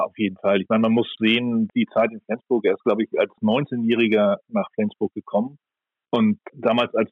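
A man's voice: lowish voice, slightly rough, dry recording. A man giving conversational sports analysis in a considered way, thinking aloud as he weighs his judgments. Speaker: sports pundit. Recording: dull, band-limited audio.

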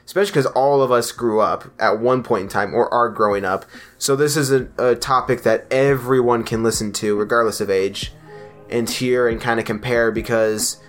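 A man's voice: mid-range voice, fairly bright tone. A young man hosting a casual podcast, chatting in a loose, rambling way with plenty of restarts. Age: young adult. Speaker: podcast host.

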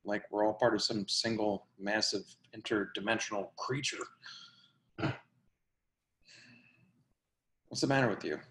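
A man's voice: fairly low pitch, brisk, a bit bright, slight background noise. A man playing a foul-mouthed, incredulous young housemate in a play reading, talking in emphatic slang. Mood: earnest, puzzled. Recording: roomy, echoing live reading.

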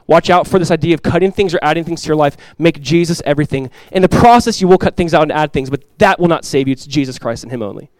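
A man's voice: fairly high pitch, quick pace, few pauses, no room sound. A pastor giving a conversational, earnest sermon, asking his listeners rhetorical questions. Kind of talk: expository sermon.

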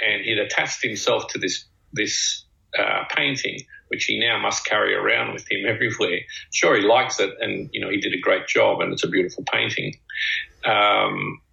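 A man co-hosting a talk show, musing slowly in a reflective, conversational way. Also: on the low side, steady tone, gravelly, sharp tone. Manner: slow, musing, with drawn-out phrases. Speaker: podcast co-host. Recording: dull lo-fi sound.

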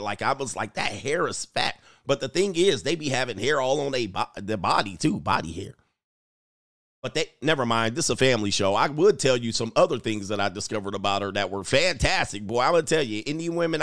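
A man's voice: mid-range voice, expressive, brisk, fairly bright tone, no echo. Rambling man reacting on a dry mic. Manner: rambling, joking, trails off mid-thought. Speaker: YouTube commentator. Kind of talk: casual reaction commentary.

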